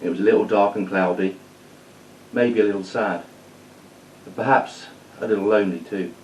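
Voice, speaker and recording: A man's voice: on the low side, fairly even intonation, normal speed, distant mic, slight background noise, poor audio. A man reading a children's story aloud as storyteller. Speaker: storyteller, school staff reader.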